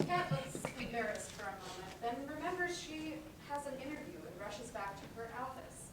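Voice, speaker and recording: A woman's voice: sits high, expressive, moderate pace. A woman narrator reading stage directions for a play in scripted narration. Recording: very echoey, distant, loud room noise.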